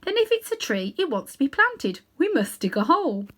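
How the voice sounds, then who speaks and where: A woman's voice: sits high, sing-song, normal speed, a bit bright, voice pushed up. A woman voicing a large, thoughtful she-elephant in a storybook, prim and mild.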